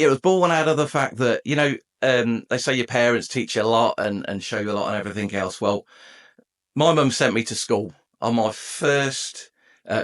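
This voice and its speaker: This is a man from England, in his forties, with a mid-range voice. He is a podcast guest telling his own story, chatty and jokey, and he keeps the talk lively. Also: medium pitch. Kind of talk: conversational storytelling.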